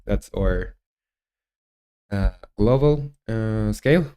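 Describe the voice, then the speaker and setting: A man in his twenties with a Chilean Spanish accent, talking through his coding on a live stream, halting and thinking aloud with many fillers. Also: lowish voice, warm tone, clear, resonant tone. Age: 20s. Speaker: game developer streamer.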